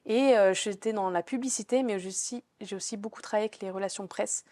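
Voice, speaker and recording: A woman's voice: medium pitch, fast talker, mellow, no room sound. A young woman student answering interview questions casually, with lots of fillers and restarts. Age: young adult. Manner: chatty, many fillers and restarts. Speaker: business school student.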